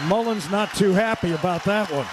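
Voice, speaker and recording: Male American radio sports play-by-play caller; high, animated voice, clipped phrasing, noisy arena behind him. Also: moderate pace. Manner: clipped present-tense phrases. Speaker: play-by-play announcer. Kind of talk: live radio basketball play-by-play commentary. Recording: clear crowd and arena background noise.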